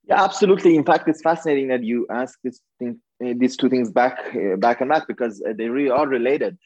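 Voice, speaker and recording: A man's voice: mid-range voice, quick pace, a little mellow, husky, no room sound. Man on a dull remote line. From Iran. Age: 30s. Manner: thoughtful, laughs often. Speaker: guest author. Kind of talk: casual interview.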